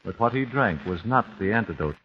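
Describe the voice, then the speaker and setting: Vengeful older father played by a man, deep, precise, chilling; 1940s radio with light hiss. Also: lively intonation, natural voice. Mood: cold. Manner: measured, menacing, precise. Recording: dull 1940s radio broadcast.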